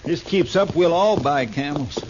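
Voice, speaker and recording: An actor playing a folksy, grumbling, good-natured deputy with an in a 1950s radio drama. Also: male voice, a little high, a little slow, natural voice. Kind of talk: 1950s radio western acting. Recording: noisy, dull vintage radio recording.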